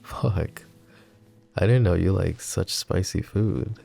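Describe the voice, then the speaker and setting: A brooding male mafia boss in an ASMR roleplay, speaking low, slow and gravelly with a dark, mellow tone. He is soft-spoken and teasing, close to the mic, with faint guitar music behind him. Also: heavily acted. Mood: tender. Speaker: voice actor.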